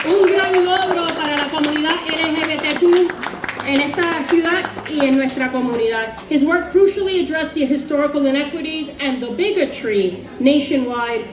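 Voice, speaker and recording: Woman giving an award at a podium, high voice, echoey hall, crowd noise clear behind. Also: brisk. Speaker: award presenter. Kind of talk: formal award presentation. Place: large echoey event hall.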